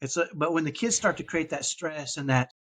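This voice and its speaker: A man with a mild Texan accent, talking as a guest on a parenting podcast. His voice sits a little high for a man. He rambles and restarts, circling back with fillers as he goes. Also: a little fast, a bit bright. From Texas. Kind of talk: casual interview chat.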